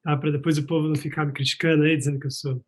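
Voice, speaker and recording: A man's voice: fairly high pitch, flat delivery, very fast. A man with a Bahian Brazilian accent speaking earnestly on an online panel, hesitating with fillers, over a video call. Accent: Bahian Brazilian Portuguese. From Salvador, Bahia, Brazil. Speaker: researcher, panelist. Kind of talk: casual online panel talk.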